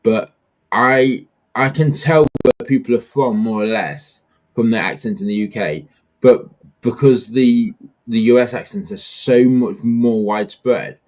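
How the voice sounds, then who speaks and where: A man with a British accent chatting casually on a livestream in a relaxed, amused way. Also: medium pitch, unhurried, poor audio. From United Kingdom.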